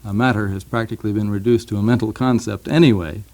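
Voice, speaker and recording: A man speaking as a guest in a thoughtful radio discussion, hesitant and halting as he works through ideas. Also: fairly low pitch, steady tone, darkish tone. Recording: 1950s archival radio recording.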